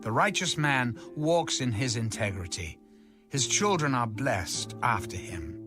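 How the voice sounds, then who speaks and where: A man reading scripture aloud in a solemn, measured, declamatory style with music behind him. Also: mid-range voice, lively intonation. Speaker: Bible reader.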